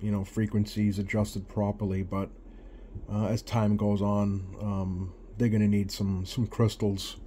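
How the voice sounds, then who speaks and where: A man giving a casual hands-on repair demonstration at his workbench, talking haltingly and pausing often as he works. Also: lowish voice, flat delivery, warm tone, a little hiss or hum. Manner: halting, hesitant, pausing often while working. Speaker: radio repair hobbyist presenting a bench video. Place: indoors at a workbench.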